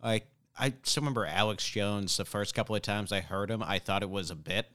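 A man's voice: fairly low pitch, fairly quick, bright, forceful tone. A man hosting a podcast in casual comedic chat, with an old-school radio voice and a dry, wry manner. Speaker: podcast host.

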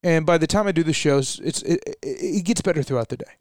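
A man's voice: a little high, fast talker, darkish tone, no echo, clean studio sound. A young adult man co-hosting a casual talk show, chatty and rambling, laughing at himself now and then. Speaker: co-host. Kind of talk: casual chat.

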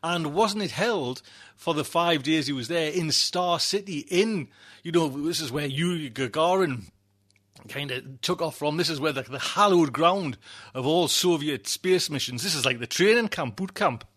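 A man's voice: fairly high pitch, expressive, normal speed, a bit bright. A middle-aged man, hosting a podcast in casual, effusive, rambling chat. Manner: effusive, rambling, repeats himself for emphasis. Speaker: podcast host.

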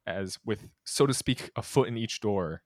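A man's voice: on the low side, moderate pace, no echo, studio quality. A young man from the United States co-hosting a podcast, talking in a thoughtful, hesitant way with many restarts and small laughs. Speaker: podcast co-host. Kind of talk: casual conversational podcast.

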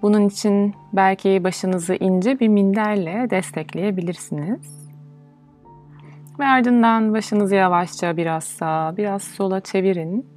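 A woman guiding a meditation in a soothing, measured, instructional way, leaving pauses between phrases. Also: mid-range voice, steady tone, a little mellow. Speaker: meditation guide. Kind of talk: guided yoga nidra meditation, instructional. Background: music.

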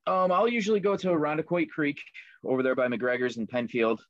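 A man's voice: on the high side, lively intonation, a little fast, no echo. Easygoing man chatting, slightly dull sound. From Liverpool, New York. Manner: easygoing, many ums and fillers. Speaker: guest, maker of fishing lures. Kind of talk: casual interview chat.

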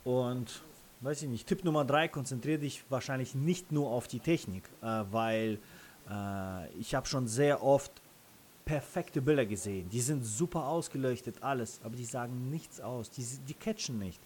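A man with a Russian accent, a native Russian speaker, answering interview questions casually in halting, self-correcting phrases. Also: medium pitch, darkish tone. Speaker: interview guest, freelance photographer and videographer.

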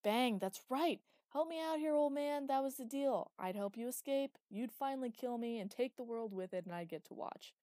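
A woman voicing a reckless, bloodthirsty man who shouts and taunts loudly. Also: medium pitch, fairly quick, dry recording, studio quality, natural voice. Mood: gleeful, taunting. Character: reckless bloodthirsty young man, gleeful and crude.

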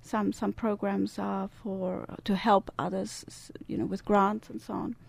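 A woman's voice: medium pitch, flat delivery, unhurried, gravelly, dry recording. Woman, older, Swiss Italian accent, radio guest, hesitant fillers, dry studio sound. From Italian-speaking Switzerland. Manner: hesitant, many fillers and restarts. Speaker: guest, service club member. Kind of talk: radio interview.

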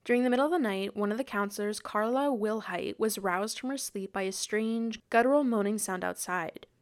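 A woman's voice: mid-range voice, brisk. A woman hosting a true-crime podcast, reading a prepared script about the case and slipping into casual "like" fillers when she chats. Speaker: podcast host and presenter.

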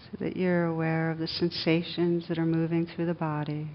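A woman meditation teacher speaks in a lowish, fairly even voice. She guides gently and soothingly, leaving long pauses between short phrases. The recording is a little dull, with a faint rumble of noise behind her.

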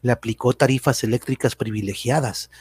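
A man's voice: mid-range voice, brisk, darkish tone, breathy edge. A man narrating a radio drama from a script.

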